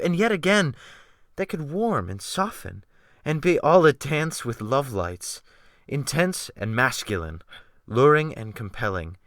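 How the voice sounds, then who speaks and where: A man narrates literary fiction with a theatrical flair. His voice is bright and forceful, recorded very dry and close, and his delivery moves expressively through each phrase. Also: mid-range voice, dry recording. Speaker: audiobook narrator.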